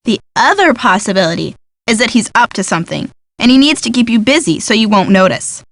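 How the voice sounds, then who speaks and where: Wry young woman, sceptical, sarcastic, practical, slightly high pitch, game dialogue, dry recording. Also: sing-song, fairly quick, no echo. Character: sardonic, practical young tomboyish woman, sleuth's sceptical friend. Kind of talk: scripted video game dialogue.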